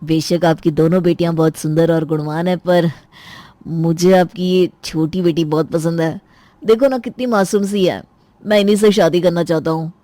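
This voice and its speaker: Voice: mid-range voice, steady tone, normal speed, warm tone, no echo, lightly acted. A performer voicing a polite, earnest young male suitor in a Hindi cartoon story.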